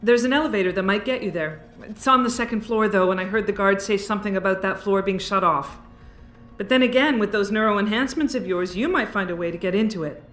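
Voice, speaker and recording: An actress playing a wry, guarded female research scientist in a video game, speaking in a measured way. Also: mid-range voice, a bit bright, natural voice. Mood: guarded, cooperative. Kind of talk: video game cutscene dialogue. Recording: roomy game cutscene with music. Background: background music.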